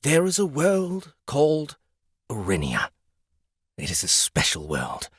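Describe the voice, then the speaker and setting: A haughty fantasy lizard acted by a man lectures like an exasperated guide to lesser beings. The delivery is theatrical and swings widely in pitch. The sound is dry, as in an audiobook. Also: a bit bright, husky, no echo, exaggerated voice. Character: pompous, exasperated ninety-one-year-old male lizard guide, youthful-looking. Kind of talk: audiobook character acting.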